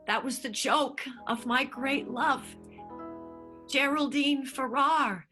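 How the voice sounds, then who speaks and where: A woman's voice: high voice, slow pace, bright, forceful tone, soft background music, full character voice. A woman voicing a confused, lovestruck stage manager in an improvised radio play, dithering as she talks.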